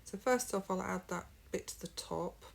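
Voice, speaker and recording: A woman's voice: on the low side, moderate pace, creaky, slight background noise. Lady doing a sewing tutorial at home, a bit sniffly, rambles on friendly, camera mic. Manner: friendly, rambling, explains step by step. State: sniffly from hay fever. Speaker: sewing vlogger.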